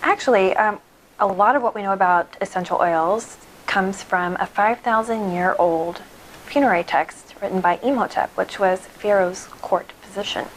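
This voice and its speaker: A woman's voice: mid-range voice, normal speed, a little hiss or hum, poor audio. A woman guest on an interview show, an intuitive healer explaining things in an informative way. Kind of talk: interview answers.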